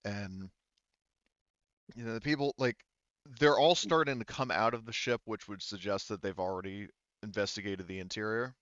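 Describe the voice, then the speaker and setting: A man with a low, smooth voice narrates as game master of a tabletop roleplaying session. He feels his way through descriptions, pausing and rewording mid-sentence. The sound is dry and slightly muffled. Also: fairly bright tone, no room sound. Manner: halting, thinks aloud, often rephrases mid-sentence.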